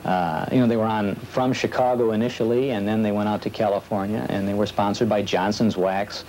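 A man in his thirties chatting casually in an interview, halting and a little self-deprecating. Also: lowish voice, steady tone, a little mellow. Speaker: old radio program collector being interviewed. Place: small office, indoors. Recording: slightly noisy old videotape.

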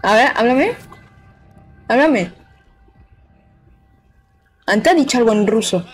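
Girl streaming a game, chatty and playful, kinda high voice, faint game music behind. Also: animated, slow, husky. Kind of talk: casual live gameplay commentary.

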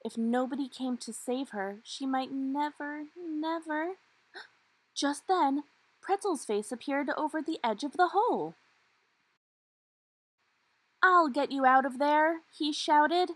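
A woman's voice: high voice, expressive, a little slow, darkish tone, dry recording. A woman reading a children's storybook aloud expressively, with dramatic emphasis on key words. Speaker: storybook reader.

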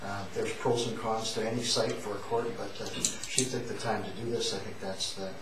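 Male councillor, halting with dry humour, lowish voice, distant mic in echoey chamber. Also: steady tone. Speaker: town councillor. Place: council chamber, large indoor room. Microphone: table microphone, recorded from far. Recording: echoey room, distant mic, background hiss.

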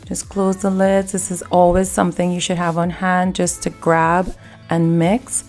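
A woman hosting a cooking video, giving calm step-by-step recipe instructions in voice-over. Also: lowish voice, a little slow, faint music behind. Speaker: cooking channel host.

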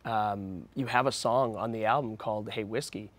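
A man in his thirties with a slightly scratchy voice, a radio host interviewing a guest in a small studio, friendly and halting with many fillers. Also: on the low side, steady tone. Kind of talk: casual interview. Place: small studio set with red curtains.

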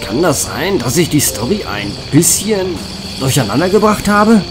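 A man's voice: on the high side, sing-song, moderate pace, music in the background. A man playing an absent-minded, matter-of-fact storyteller on the run in a horror-comedy audio play. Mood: musing. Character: absent-minded male storyteller fleeing a monster.

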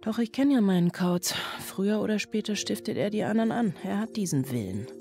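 A woman's voice: medium pitch, expressive, fairly quick, warm tone, close to an everyday voice. An actress playing a weary, brooding single mother in a radio drama monologue, loving yet overwhelmed. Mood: weary, tender. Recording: music behind the voice.

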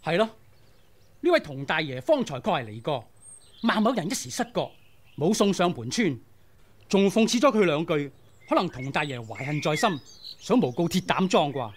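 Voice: medium pitch, big pitch swings, moderate pace, slight background noise, natural voice. An actor playing a courteous, evasive manor steward in a radio drama. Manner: courteous, smooth. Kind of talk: 1990s radio drama acting.

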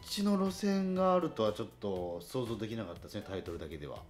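A man's voice: on the low side, lively intonation, fast talker. A man hosting a casual radio interview, reacting often with short interjections as he follows along. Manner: reactive, frequent backchannels. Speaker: radio host interviewing a guest.

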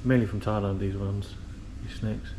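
A man's voice: lowish voice, steady tone, moderate pace, noisy background. A man hosting a vlog, guiding viewers on a tour in a chatty, enthusiastic way, then narrating. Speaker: vlog host. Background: background music.

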